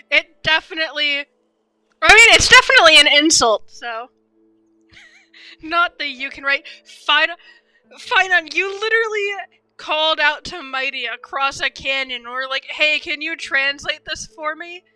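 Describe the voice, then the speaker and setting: A woman streaming a game, giving casual, rambling live commentary with animated fan reactions. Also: sits high, a little slow, no room sound, soft background music, rough recording.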